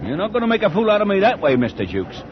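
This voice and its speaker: An actor playing a cagey, sour, gloomy ship's second mate in an old-time radio drama, drawling and mocking. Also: male voice, high-pitched, wildly swooping pitch, fast talker. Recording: muffled, noisy vintage radio recording.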